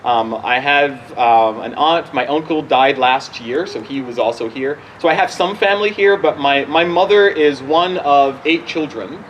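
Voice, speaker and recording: A man in his forties from Barbados speaks in a slightly high voice. He talks informally and haltingly, doubling back on his sentences as he fields questions as a bishop. He is outdoors, with some background noise around him. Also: muffled recording. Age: 40s. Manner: halting, conversational, often restarting sentences. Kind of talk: informal question-and-answer talk. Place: outdoors at a church gathering.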